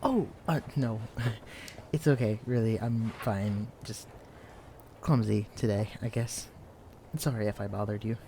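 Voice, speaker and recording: Shy, mellow-voiced young male librarian played by an actor. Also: fairly low pitch, unhurried, gravelly, pauses often, a little hiss or hum. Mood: flustered, apologetic. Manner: shy, hesitant, stammering, hushed. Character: shy, clumsy, bookish young male librarian, eager mythology lover. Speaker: librarian character in an audio roleplay. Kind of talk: intimate audio roleplay acting addressed to the listener. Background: quiet library ambience.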